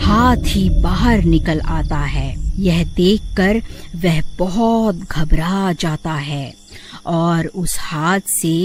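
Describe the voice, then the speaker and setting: Slow-paced female storyteller. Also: lowish voice, darkish tone. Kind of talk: scripted story narration. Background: background music.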